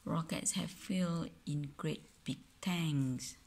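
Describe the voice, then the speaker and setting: A man with a Malaysian accent reading a picture book aloud to a child at home, soft and often half-whispered, with playful sound effects, recorded on a phone. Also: fairly high pitch, takes it slowly, vocal fry.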